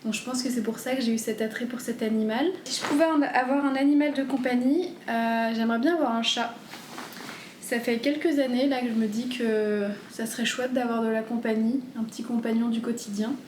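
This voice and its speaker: Thirty-something woman vlogging at home, kinda high voice, chatty with lots of ums, roomy echo. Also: steady tone, fairly quick, slight background noise. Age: 30s. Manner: gentle, chatty, frequent hesitations. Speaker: vlogger answering viewer questions. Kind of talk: casual FAQ chat.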